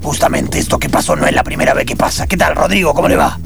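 Very gravelly actor as a male doctor, wildly swooping pitch, bossy, urgent, improvised radio play, music behind. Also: wildly swooping pitch, fast talker, heavily acted. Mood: self-important. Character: brusque, intrusive male doctor barging in to help.

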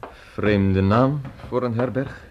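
A man acting a polite, well-to-do traveller in a radio drama, speaking in a measured, formal way. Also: on the low side, dull audio. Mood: polite, musing. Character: courteous well-to-do male traveller at a country inn. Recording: old radio play recording, hissy, clicks.

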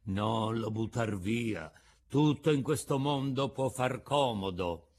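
A man voicing a kind, patient old woodcarver in a storybook reading, speaking slowly and gently with a lecturing tone. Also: mid-range voice, animated.

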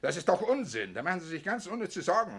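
An actor playing a blustering, suspicious Nazi-era farm official in a 1950s German radio play. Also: male voice, high-pitched, very animated, fast talker. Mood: indignant, blustering. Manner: blustering, officious, insistent questioning.

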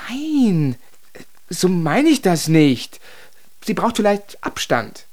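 An evasive, placating man of thirty, swooping pitch. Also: sing-song, slow, darkish tone, full character voice. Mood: defensive. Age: 30s. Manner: hedging, soothing. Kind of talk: naturalistic German radio drama acting.

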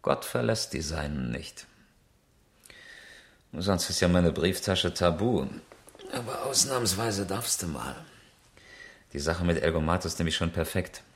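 An actor playing a proud, insistent engineer and amateur rally driver in a radio crime drama, brisk and probing. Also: male voice, low-pitched, lively intonation, breathy edge, exaggerated voice. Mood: upbeat, reassuring. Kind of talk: 1970s German radio crime drama acting.

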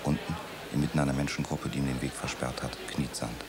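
Very deep gravelly male narrator. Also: noisy background. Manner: calm, measured storytelling. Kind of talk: radio drama narration.

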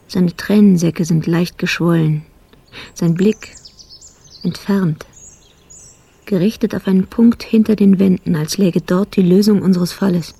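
A woman's voice: lowish voice, creaky, mellow, breathy edge, full character voice. An actress playing a sober, observant female police colleague in a radio drama, speaking in spare, short phrases. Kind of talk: German radio drama narration and dialogue.

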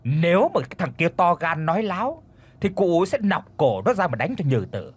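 A furious old village chief barking curses in a high, strained voice that swings wildly up and down, as a man puts him on. His husky tone cracks as he scolds servants. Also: sing-song, fairly quick, a bit bright, heavily acted. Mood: bossy. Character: cranky old male village chief, foul-mouthed.

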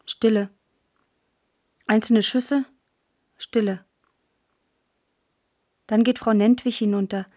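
A woman's voice: fairly high pitch, steady tone, slow, pauses often, a little mellow, no echo, studio quality. A woman narrating a radio play in clipped, short phrases, like someone reading stage directions. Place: dry studio.

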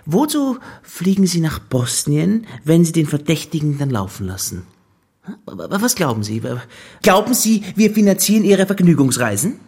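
An actor playing a displeased, pedantic police superior, reproachful and sarcastic, in a radio drama. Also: male voice, fairly high pitch, very animated, moderate pace, darkish tone, husky, exaggerated voice. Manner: reproachful, sarcastic, stammering in indignation. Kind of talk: German radio drama acting.

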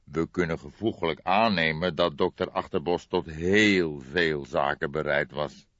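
A man voicing an older police detective in an audiobook, speaking in a deliberate, formal and ponderous manner. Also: very deep voice, a bit bright, no room sound, highs cut off. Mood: brooding. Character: brooding old male police detective, deliberate, weighty, slightly formal. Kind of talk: audiobook character dialogue.

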